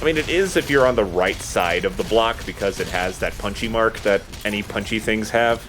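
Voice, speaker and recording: A man's voice: lowish voice, a little fast, noisy background. A man giving casual, explanatory commentary on a video game in a conversational way. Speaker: let's play gamer and commentator.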